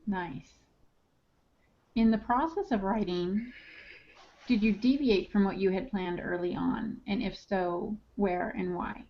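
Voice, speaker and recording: A woman's voice: mid-range voice, slow, pauses often. A woman hosting a podcast, casually putting questions to guests as an interviewer. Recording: dull, lo-fi podcast audio.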